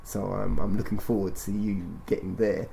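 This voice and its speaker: A man hosting a podcast interview in a measured, instructive way, rephrasing his points and prompting his guest as he talks. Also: sits low, fairly even intonation, creaky, mellow, slight background noise. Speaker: radio show and podcast host. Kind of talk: conversational interview, explaining and prompting.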